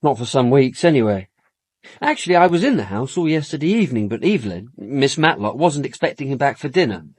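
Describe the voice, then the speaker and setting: A young English idler in a radio murder mystery. He is effusive and glib, with a husky mid-range voice recorded dry. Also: mid-range voice, big pitch swings, a little fast, darkish tone, dry recording. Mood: casual, evasive. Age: young adult. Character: effusive, evasive young man, a widow's idle brother. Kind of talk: British radio drama dialogue.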